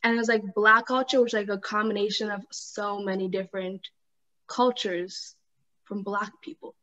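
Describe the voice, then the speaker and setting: A woman's voice: medium pitch, fairly even intonation, normal speed, bright, forceful tone. A teenage girl on a video call whose webcam audio comes through dull and lo-fi. She talks in a middle voice, hesitating over "um" and "like" as she thinks. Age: teens. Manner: hesitant, "um" and "like" fillers. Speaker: club co-leader, panelist. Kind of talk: casual panel discussion.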